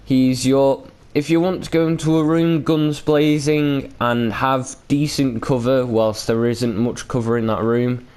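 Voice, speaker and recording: A man's voice: medium pitch, flat delivery, a little slow, few pauses, a little mellow, smooth. A man hosting a gaming video with casual, unscripted commentary, rambling and hesitant with loose, sweary language.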